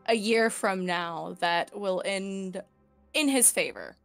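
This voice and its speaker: A woman's voice: medium pitch, slow pace, fairly bright tone. A woman chatting casually and roleplaying as a player in a tabletop game. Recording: music behind the voice.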